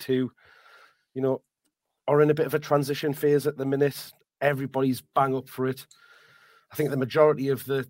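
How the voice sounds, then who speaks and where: Croaky man, podcast co-host, halting earnest chat, dry close sound. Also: medium pitch, darkish tone, dry recording. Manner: halting, many restarts, earnest. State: hoarse. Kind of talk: casual chat.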